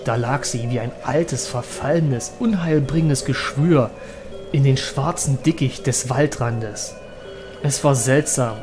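A man's voice: medium pitch, music in the background, lightly acted. A man playing a haunted young trainer, recounting his story in a brooding, dramatic way for a horror audio drama. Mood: fearful, suspenseful. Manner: dramatic, halting at moments, brooding. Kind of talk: German horror audio drama narration and acting.

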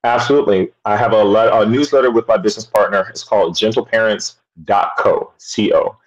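A man in his thirties, a teacher and interview guest, speaking in an articulate, measured way over a video call. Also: lowish voice, fairly even intonation. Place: home room. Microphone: webcam on a video call. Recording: dull lo-fi video call audio.

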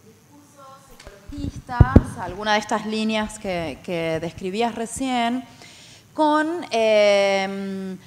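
A woman's voice: medium pitch, expressive, takes it slowly, heavy background noise. A woman with an Argentine accent asks an informal academic question from the audience, with hesitant, roundabout phrasing. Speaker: audience member asking a question. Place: large university auditorium. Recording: reverberant auditorium sound.

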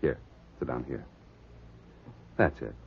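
An actor playing a suave, self-assured millionaire with formal, urbane speech, in 1940s radio drama style. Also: male voice, rumbling low, expressive, slow pace, heavily acted. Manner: smooth-talking, formal, urbane. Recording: muffled vintage 1940s radio recording.

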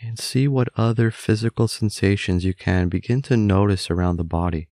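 A man calmly leading a scripted guided meditation in a measured, gently instructive manner. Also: fairly low pitch, no echo, studio quality.

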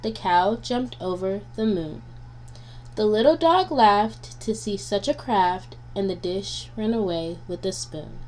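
Woman, children's rhyme reader, very unhurried, sing-song, deliberate, faint background hum. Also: medium pitch, darkish tone. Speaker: guest storybook reader. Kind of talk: nursery rhymes read aloud for children. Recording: noisy home recording with hum.

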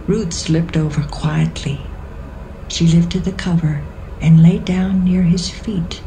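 Female narrator, scripted Bible storytelling, slow delivery, lowish pitch, music bed. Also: fairly even intonation, mellow, a little hiss or hum, rough recording. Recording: music behind the voice.

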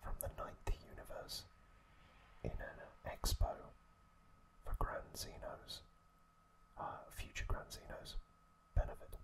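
A man whispering breathily in a halting, gentle ASMR storytelling recap, close to the microphone. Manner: slow, halting phrases with pauses. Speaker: ASMR host.

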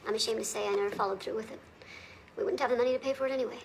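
A woman's voice: on the high side, steady tone, racing, gravelly, slight background noise. An actress playing a troubled, conscientious woman social worker in a film drama, speaking earnestly. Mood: grave, regretful.

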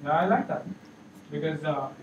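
Loud high-voiced man in an echoey room. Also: moderate pace, recorded from a distance, dull audio, a little hiss or hum. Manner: loud, encouraging, asks the room questions. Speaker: workshop trainer. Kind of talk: interactive training session. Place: large meeting room with projector. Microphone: far camera, no mic.

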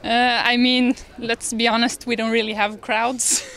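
Young Norwegian woman, fairly high voice. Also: flat delivery, measured pace, creaky, fairly bright tone, smooth. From Norway. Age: young adult. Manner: hesitant, good-humoured, laughs easily. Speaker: ski jumper being interviewed. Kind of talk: casual interview answers. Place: large indoor lobby. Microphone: handheld reporter microphone.

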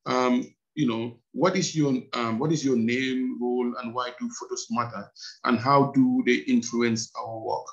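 A man's voice: mid-range voice, steady tone, measured pace, dull audio. A man moderating an online panel discussion over a video call, hesitant with many fillers.

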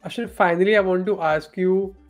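A podcast host with a high-pitched voice puts short, friendly questions to his guest. Soft music plays faintly behind him. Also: fairly even intonation, a little fast. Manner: friendly, brief prompting questions. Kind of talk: casual interview questions.

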